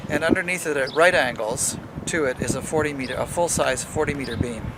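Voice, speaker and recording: A man's voice: medium pitch, brisk. A man giving an informal, methodical explanatory tour as a member of an amateur radio club. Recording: noisy ambient amateur video sound.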